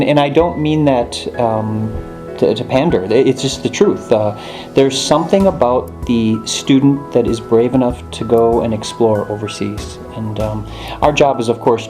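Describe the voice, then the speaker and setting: Middle-aged man over guitar music. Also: mid-range voice. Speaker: university administrator interviewee. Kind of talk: earnest documentary interview. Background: plucked guitar music.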